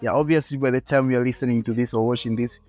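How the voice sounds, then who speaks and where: A man's voice: medium pitch, fairly even intonation, quick pace, darkish tone. A man hosting a podcast interview, friendly and encouraging, laughing easily and prompting his guest. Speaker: podcast host. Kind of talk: casual interview chat.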